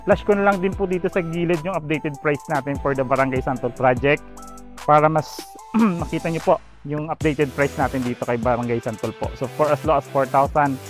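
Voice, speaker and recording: Masiglang lalaking ahente na naglilibot sa site. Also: male voice, a little high, fairly quick, faint music behind. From Philippines. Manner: upbeat salesy patter with frequent "so ayan" fillers. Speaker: real estate agent and channel host. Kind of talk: walking site-tour vlog. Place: outdoor construction site, sunny and open. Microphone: clip-on lapel mic.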